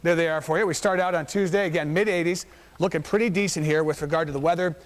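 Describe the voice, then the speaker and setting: A man's voice: a little high, steady tone, fast talker. A man giving a TV weather forecast in an upbeat, chatty, enthusiastic manner from a news studio. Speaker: chief meteorologist. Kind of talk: TV weather forecast, conversational broadcast.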